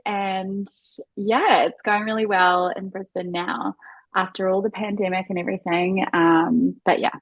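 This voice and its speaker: A woman's voice: mid-range voice, little pitch movement, unhurried, no room sound, dull audio. A woman with a New Zealand accent, chatting informally and enthusiastically as a podcast guest. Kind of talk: casual conversational chat.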